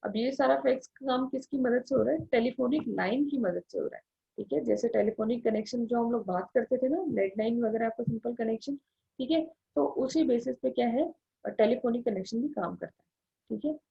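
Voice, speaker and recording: A woman's voice: fairly high pitch, brisk, vocal fry, breathy edge. An Indian woman giving an explanatory lecture, going step by step with frequent check-in tags, in a dull lo-fi recording. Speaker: engineering lecturer. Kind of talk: explanatory classroom lecture mixing Hindi with English terms.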